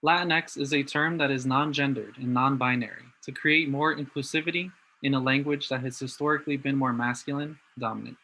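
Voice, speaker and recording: A young man from New Jersey giving a prepared talk in an earnest, steady way. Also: mid-range voice, brisk, few pauses, a little hiss or hum. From New Jersey, USA. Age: young adult. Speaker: student speaker.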